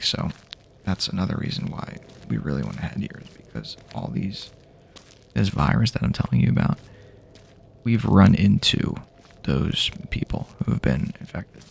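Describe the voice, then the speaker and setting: A man speaking softly and half-whispering in a halting, casual, rambling way, improvising an immersive ASMR roleplay as an apocalypse survivor. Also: fairly low pitch. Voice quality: breathy, half-whispered, gravelly. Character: weary friendly male apocalypse survivor guiding a companion.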